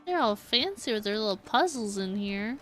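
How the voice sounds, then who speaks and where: A woman's voice: mid-range voice, lively intonation, moderate pace, a bit bright. A woman giving casual live gameplay commentary, talking to herself and exclaiming. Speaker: game streamer. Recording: game music behind. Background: video game music.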